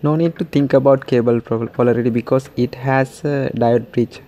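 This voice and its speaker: A man's voice: mid-range voice, fairly even intonation, normal speed, mellow. A man narrating a hands-on repair tutorial step by step, in short, simple, non-native English sentences. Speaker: DIY repair tutorial host. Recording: clearly noisy home recording.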